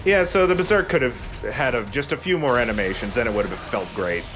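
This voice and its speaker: A man's voice: medium pitch, expressive, brisk, background noise. A man giving casual commentary as he plays through a video game. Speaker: let's player.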